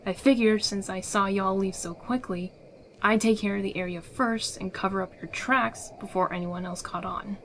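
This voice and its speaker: A secretive rebel guide acted by a woman in a fantasy audio roleplay. She sounds sure of herself and persuasive, with some theatrical flair at a medium pitch. Faint noise and night crickets are behind her. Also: steady tone, moderate pace, fairly bright tone, lightly acted. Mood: placating. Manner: assured, persuasive, theatrical delivery. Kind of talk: ASMR audio roleplay acting.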